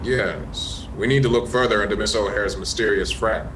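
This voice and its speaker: A low-pitched American inspector acted by a man questions people in a measured, skeptical way. It is the style of a comic radio mystery, composed and probing. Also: background noise, lightly acted. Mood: skeptical, pressing.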